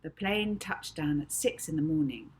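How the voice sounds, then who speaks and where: A woman reading a children's novel aloud as expressive audiobook narration. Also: low-pitched, moderate pace, slight background noise, low-quality recording.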